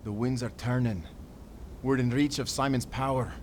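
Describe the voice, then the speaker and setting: A man's voice: medium pitch, fairly even intonation, normal speed, a little mellow, a little hiss or hum, lightly acted. A man playing a loyal, salty sailor in a nautical audio drama, protective and urgent, with dramatic seafaring turns of phrase. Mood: urgent, determined. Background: music.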